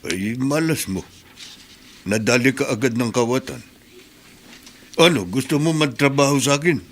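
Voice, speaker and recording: A man's voice: mid-range voice, normal speed, lightly acted. An actor playing a reassuring, fatherly middle-aged uncle who takes in his nephew, in a radio drama. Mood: sympathetic.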